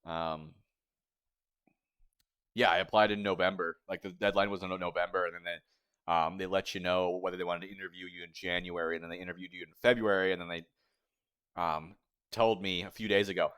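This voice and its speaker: Low-voiced young man chatting on stream. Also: steady tone, a little fast, long pauses, a bit bright, clear, resonant tone. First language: English. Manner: rambling, chatty, reads chat aloud. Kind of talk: casual livestream chat.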